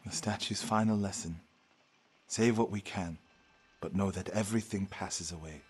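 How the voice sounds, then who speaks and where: An actor playing an earnest, devout warrior monk of feudal Japan in a video game, speaking solemnly and with feeling. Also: male voice, sits low, normal speed, slightly rough. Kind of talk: dramatic video game acting.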